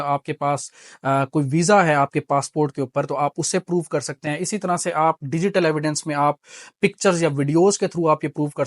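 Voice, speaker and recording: A man's voice: on the high side, dry recording, studio quality. A man with a Pakistani accent giving an explanatory law lecture, mixing in English terms and teaching didactically through examples and repeated key points.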